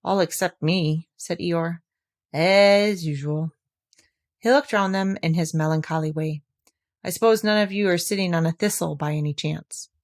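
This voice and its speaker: Woman reading as a droopy, self-pitying old donkey; slow, sighing, storybook. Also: lowish voice, lightly acted. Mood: gloomy. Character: gloomy old male donkey, melancholy.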